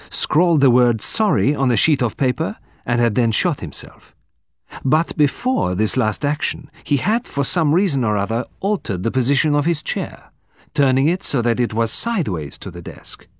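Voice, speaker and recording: A man playing a composed, formal detective from abroad, speaking deliberately with dramatic emphasis in an audiobook. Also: medium pitch, sing-song, no echo, clean studio sound, pitched above the performer's own voice. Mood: explaining, dramatic. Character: composed, precise foreign male detective, quietly theatrical.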